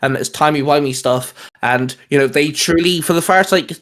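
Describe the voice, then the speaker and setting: Casual man with a slightly high voice. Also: moderate pace, fairly bright tone. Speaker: host of a comics discussion show. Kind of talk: casual geeky chat, pitching ideas.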